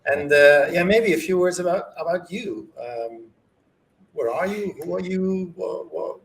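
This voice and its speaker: A man's voice: high-pitched, measured pace, creaky, a little hiss or hum, rough recording. A man hosting an interview show, greeting viewers and asking questions in a friendly, informal way. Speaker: host.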